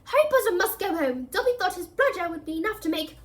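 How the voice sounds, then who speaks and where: A woman's voice: very high-pitched, expressive, fast, pitched above the performer's own voice. A woman reading aloud, voicing a timid, miserable male house elf who pleads in a servile manner. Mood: pleading.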